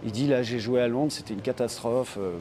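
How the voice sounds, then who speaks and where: A man's voice: mid-range voice, a little fast, dark tone. A man interviewed about preparing a film role, talking casually and hesitantly with many restarts. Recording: noisy crowd behind.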